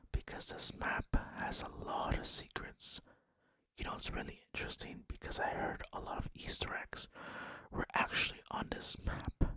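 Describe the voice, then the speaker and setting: A person narrating gameplay entirely in a breathy ASMR whisper, close to the microphone, moving between scripted facts and casual asides. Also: sharp tone. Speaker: gaming video creator narrating over gameplay. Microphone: close microphone, dry sound.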